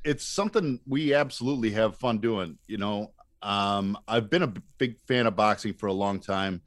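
A man speaking as a podcast guest, telling stories casually in a halting, wryly self-mocking way. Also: lowish voice, no echo, studio quality. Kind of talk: casual anecdotal storytelling.

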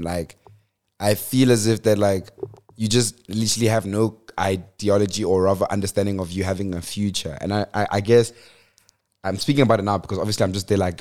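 A young man from South Africa chats on a podcast in a low, relaxed voice. He talks loosely, with plenty of slang and frequent laughter. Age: 20s. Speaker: podcast co-host. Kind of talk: casual chat.

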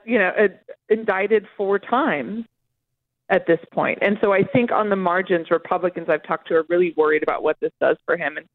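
A woman's voice: mid-range voice, dry recording. Woman reporter on a narrow phone line. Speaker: political reporter interviewed as guest. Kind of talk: live news interview, explanatory.

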